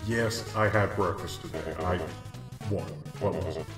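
A man playing a cold, clinical monster scientist in a comic dub, speaking formally and deliberately with hidden weariness. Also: low-pitched. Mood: flustered, evasive. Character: cold, clinical male mad scientist monster, secretly weary. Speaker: scientist experimenting on his creations. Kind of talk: comic dub dramatic acting. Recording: distant echoey voice under loud music.

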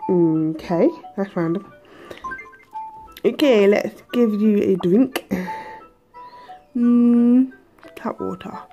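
A woman hosting a let's play, chatty and hesitant with lots of ums, her voice a bit croaky from a cold. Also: mid-range voice, extremely slow, pauses often, dark tone, muffled recording, faint music behind. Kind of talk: casual game commentary. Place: indoors at a table.

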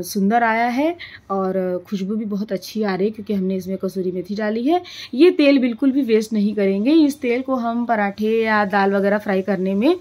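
A woman narrates a cooking tutorial as the host. Her medium-pitched voice walks through each step in an explanatory manner. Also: darkish tone. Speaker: home cooking show host.

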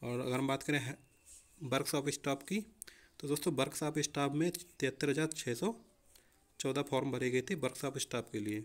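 A man's voice: mid-range voice, brisk. A young man explaining exam preparation informally as a coaching teacher, often addressing listeners as friends.

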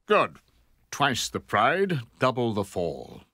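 An actor playing an arrogant, aristocratic older Sith lord in a video game, imperious and theatrical. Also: male voice, mid-range voice, wildly swooping pitch, slow pace, sharp tone, husky, no room sound, clean studio sound, full character voice. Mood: haughty menace.

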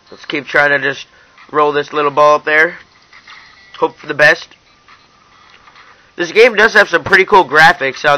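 Young guy doing a casual app walkthrough, really bright sharp voice, kinda high for a guy. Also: no echo, low-quality recording. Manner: informal, addresses viewers as you guys. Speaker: app reviewer. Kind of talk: casual hands-on app review narration.

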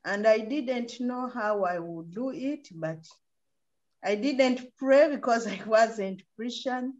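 A woman from Uganda with a Ugandan accent, giving an earnest testimony as a missionary in a video call interview. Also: a little high. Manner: slow, earnest testimony with soft laughs. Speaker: missionary, orphanage co-founder. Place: home room, sharing the frame with her husband. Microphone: video call webcam. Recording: muffled lo-fi video call audio.

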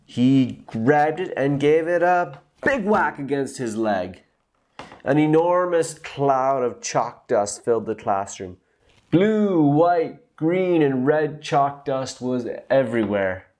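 Man, children's story narrator, very expressive pitch, slow deliberate pace, dramatic emphasis. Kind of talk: animated children's story read-aloud. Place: small room at home, indoors.

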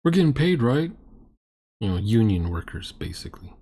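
Sarcastic middle-aged man with swooping pitch. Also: big pitch swings, moderate pace, mellow. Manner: sarcastic, blunt, mocking. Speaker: host reacting to a recorded roleplaying game. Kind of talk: critical reaction commentary.

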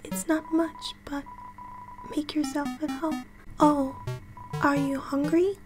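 Meek, gloomy game ghost, female actor, high and unhurried, self-effacing, faint music behind. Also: level tone. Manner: hesitant, self-effacing, partly whispered. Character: timid, gloomy, apologetic ghost. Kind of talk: comedic fan dub of a video game.

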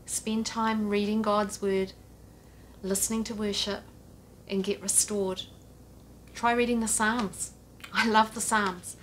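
A middle-aged woman preaches straight to the camera from a sofa in her living room, earnest and direct, her voice sitting at an ordinary middle pitch. A faint low rumble runs under the recording. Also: flat delivery, slow pace, long pauses, fairly bright tone, poor audio. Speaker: pastor. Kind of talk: home church sermon to camera. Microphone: camera at a few feet, head and shoulders framing.

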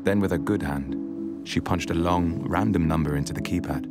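Brief: male actor as very low, measured, deadpan storyteller for a poetry film, prominent music underneath. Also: lightly acted. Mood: measured, wry. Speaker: narrator. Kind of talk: scripted poetry film narration.

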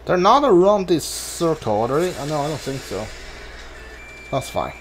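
A man's voice: a little high, very animated, normal speed, slight background noise, poor audio. A man giving casual commentary on a game he plays, often muttering questions and asides to himself. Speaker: gamer streaming a blind playthrough. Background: game music.

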